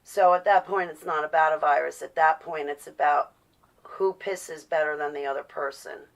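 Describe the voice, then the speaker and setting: A woman's voice: low-pitched, fairly even intonation, moderate pace, creaky. A woman giving a casual, rambling vlog monologue, opinionated and ranting, prone to swearing and to acting out imagined quotes. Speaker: vlogger.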